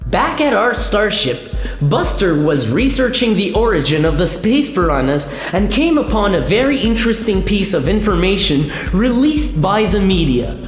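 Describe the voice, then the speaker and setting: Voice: mid-range voice, big pitch swings, normal speed, natural voice. A narrator reading scripted storytelling for a sci-fi comedy radio play. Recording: echoey, long reverb, music behind.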